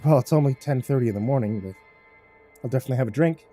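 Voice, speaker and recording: A man's voice: mid-range voice, racing, warm tone, soft background music, natural voice. A man voicing an awkward, easily cowed young man with a hesitant, earnest way of talking in a narrated story. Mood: careful.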